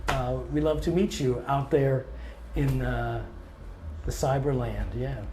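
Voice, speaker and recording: A touring blues singer and guitarist with a scratchy voice, answering interview questions in a relaxed, thoughtful way and often pausing on "um". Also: male voice, mid-range voice. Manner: relaxed and thoughtful, many ums, restarts now and then.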